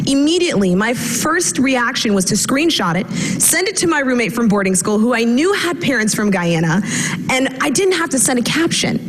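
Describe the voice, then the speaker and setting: A young woman from the United States giving a prepared personal talk on a stage, telling her story with shifting pace and pauses. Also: on the high side, a little hiss or hum. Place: large auditorium stage.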